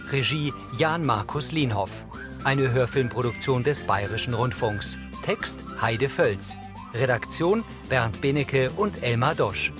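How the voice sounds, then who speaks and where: Male audio describer, medium pitch, measured, matter-of-fact, scripted narration, music behind. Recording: studio voice over music.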